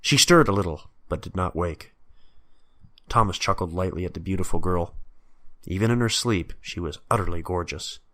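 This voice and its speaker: Male narrator for prose fiction, low-pitched voice, scripted storytelling read. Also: normal speed, pushed and bright.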